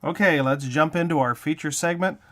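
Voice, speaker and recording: A man's voice: fairly high pitch, a little fast, a bit bright. A man hosting an interview show, reading scripted narration to introduce a feature.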